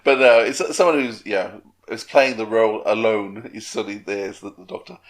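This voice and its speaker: A man co-hosting a podcast, chatting casually in a halting way with restarts. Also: on the low side. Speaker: podcast co-host. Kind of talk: casual chat.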